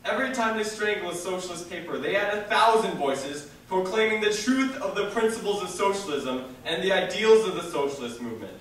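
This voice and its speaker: A young man reading a political speech as theatrical oratory, declaiming with dramatic pauses and emphasis. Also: sits high, animated, fairly bright tone. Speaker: reader of a historical speech. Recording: echoey hall from far off, faint noise.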